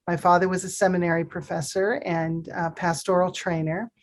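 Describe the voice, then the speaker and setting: A woman raised in Pakistan, answering interview questions in a reflective, measured way with frequent fillers. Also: lowish voice, level tone. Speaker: guest.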